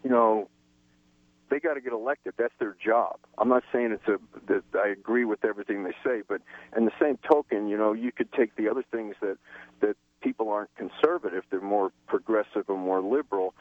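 Low-voiced man calling in on a phone line. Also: brisk, no room sound. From New Mexico, USA. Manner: rambling, hesitant, many restarts. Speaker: caller. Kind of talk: call-in radio conversation.

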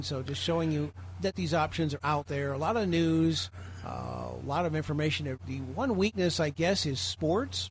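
American man, fairly high pitch, informal presenter, rambling explanations, lightly noisy online meeting recording. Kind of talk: informal explanatory group talk.

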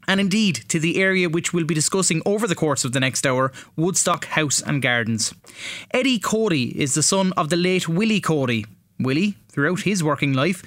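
A man's voice: fairly high pitch, a bit bright, dry recording, clean studio sound. An Irish man presenting a radio show as host, reading from a prepared script.

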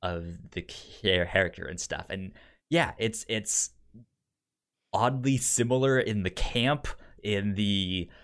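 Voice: low voice, slow pace, fairly bright tone. A podcast co-host chatting casually about films, often stammering and repeating syllables and words. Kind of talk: casual conversational film chat.

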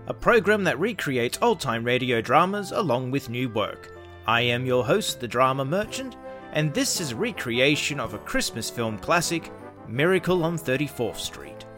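A man narrates as host of a radio drama, reading scripted lines like a storyteller. His mid-pitched voice sits over clearly audible music. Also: a bit bright.

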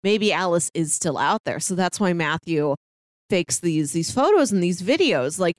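A woman's voice: fairly low pitch, animated, normal speed, no room sound, clean studio sound. A woman co-hosting a podcast, casually discussing film and recounting things in explanatory detail. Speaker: podcast co-host.

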